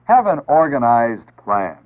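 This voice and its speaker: American male announcer, 1960s civil defense film style. Measured, instructive, animated intonation, narrow phone-like vintage sound. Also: medium pitch. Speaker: public service announcer. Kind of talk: 1960s civil defense PSA scripted narration. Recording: tinny band-limited 1960s broadcast audio.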